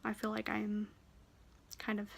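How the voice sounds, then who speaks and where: Soft-spoken woman, gravelly and quiet. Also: mid-range voice, completely flat delivery, a little slow. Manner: shy, rambling, nervous. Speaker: cross-stitch vlogger. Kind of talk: casual show-and-tell vlog.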